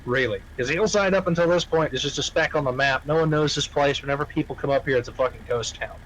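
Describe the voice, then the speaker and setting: Higher-pitched man, emphatic and sweary. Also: fast, a bit bright, poor audio. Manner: emphatic, profane, repetitive. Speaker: roleplay gamer, faction member. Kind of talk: casual in-game roleplay discussion.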